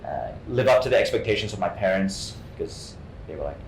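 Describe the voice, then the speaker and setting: A man from Hong Kong giving an informal interview as a guest actor, halting and often repeating his words. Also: lively intonation, creaky. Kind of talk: informal artist talk, answering questions. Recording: echoey room, faint rumbling noise.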